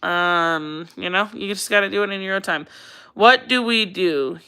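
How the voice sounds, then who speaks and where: Bright, clear-voiced American woman chatting casually. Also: medium pitch, normal speed. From Colorado, United States. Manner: rambling, chatty, repeats phrases for emphasis. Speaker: livestream host. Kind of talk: casual livestream chat, answering viewer comments.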